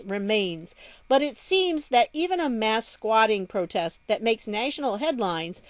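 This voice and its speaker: Woman, American accent, higher voice, wry informal commentary host, reads articles aloud, very dry recording. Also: dry recording. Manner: wry, chuckling, informal asides. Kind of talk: casual news commentary, reading articles aloud.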